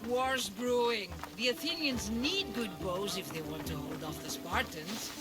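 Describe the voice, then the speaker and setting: A woman's voice: mid-range voice, lively intonation, a little slow, close to an everyday voice. An actress playing a sarcastic, bossy bow merchant, a brash woman in a video game cutscene, over music. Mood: brash, scheming. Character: brash, foul-mouthed female bow merchant, impatient and mercenary.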